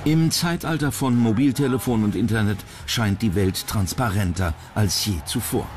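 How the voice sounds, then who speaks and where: A man's voice: fairly low pitch, normal speed. A man reading scripted narration for a TV countdown documentary. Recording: music behind the voice. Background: background music.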